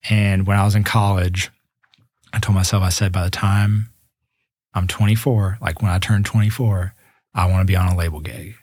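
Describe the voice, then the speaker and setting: A man's voice: deep voice, fairly even intonation, moderate pace, a little mellow, no echo, studio quality. A man in his twenties, a musician guest in a casual interview, talking earnestly and reflectively with frequent restarts. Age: 20s.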